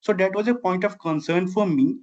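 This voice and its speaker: A middle-aged man from Bihar speaks with an Indian accent, Maithili being his first language. His voice sits a little high as he talks earnestly and conversationally as an interview guest. The audio is dull and somewhat lo-fi. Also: brisk, darkish tone. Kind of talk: conversational personal storytelling.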